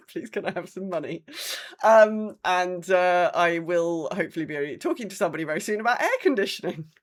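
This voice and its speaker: A woman's voice: fairly low pitch, lively intonation, fairly quick. British woman chatting casually on a podcast. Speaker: podcast co-host. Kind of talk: casual chat.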